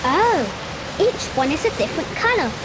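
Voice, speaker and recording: A very high, lively little boy, eager and full of breathless wonder. He exclaims at everything in this children's audio play, over clear tape noise. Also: normal speed. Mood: fascinated. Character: wide-eyed, eager, curious young boy. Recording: noisy old cassette recording.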